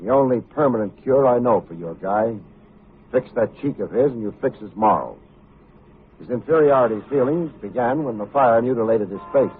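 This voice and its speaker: An actor playing a tough, wry but good-hearted private detective in old-time radio style, with a hardboiled, wisecracking manner. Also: male voice, medium pitch, animated, normal speed, husky. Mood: brisk, wry. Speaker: lead actor and narrator. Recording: muffled old radio recording, music behind.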